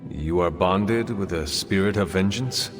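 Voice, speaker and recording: Casting: grave old-world game warrior, low voice, formal and courteous, set in a reverberant hall. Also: male voice, unhurried, music in the background. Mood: solemn, courteous. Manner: formal, archaic phrasing without contractions. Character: solemn, courteous ancient warrior hero. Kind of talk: video game cutscene acting.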